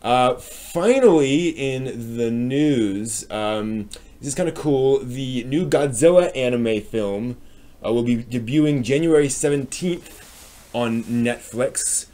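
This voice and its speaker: A man with an American accent hosting a live stream, giving a casual news rundown in a hesitant, halting way as he thinks aloud. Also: medium pitch, warm tone. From United States.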